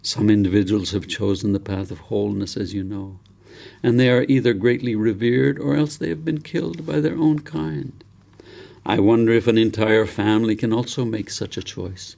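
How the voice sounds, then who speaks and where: A man voicing a wise, trusting old grandfather who speaks reflectively and deliberately in a novel. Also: fairly low pitch, vocal fry, darkish tone.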